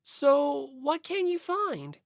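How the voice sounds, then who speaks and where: Woman voicing an earnest, higher-pitched schoolboy. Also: wildly swooping pitch, slow pace, no echo, clean studio sound, full character voice. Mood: helpful. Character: earnest, friendly, timid teenage schoolboy. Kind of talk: audiobook character voice.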